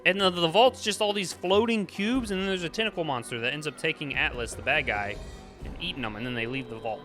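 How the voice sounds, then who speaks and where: A man's voice: fairly high pitch, fast talker, sharp tone, faint music behind. A man chatting casually and bluntly, giving a scornful, opinionated film review as a show host. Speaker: movie review podcast host.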